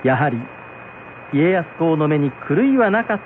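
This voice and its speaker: A man's voice: fairly high pitch, very animated, unhurried, slight background noise. A man narrating a scripted folktale for radio in a polite, traditional storytelling manner. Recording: tinny radio broadcast, music behind.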